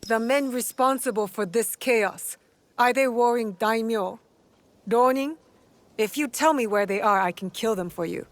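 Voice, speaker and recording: A young actress playing a direct, earnest warrior heroine in a video game. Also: female voice, a little high, unhurried, natural voice. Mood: determined. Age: young adult. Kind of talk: video game cutscene acting.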